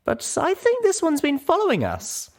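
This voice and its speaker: Man putting on a very high-pitched young pony mare. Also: wildly swooping pitch, normal speed, full character voice. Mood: nervous. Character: young female pony heroine, earnest and bashful.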